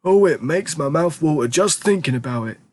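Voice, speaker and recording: A man voicing an eager, excitable grandfather in his nineties, exclaiming with theatrical emphasis. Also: fairly high pitch, normal speed, a little hiss or hum, voice pushed up, natural voice. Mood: savouring. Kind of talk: audiobook character reading.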